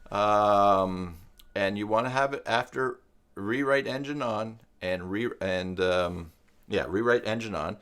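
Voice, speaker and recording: Male live stream instructor, lower-pitched, informal and rambling, talks through each step aloud. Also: takes it slowly, fairly bright tone, clear, resonant tone. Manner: rambling, thinking aloud while demonstrating. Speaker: live stream host and web tutorial instructor. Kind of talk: casual live screen-share tutorial with chat.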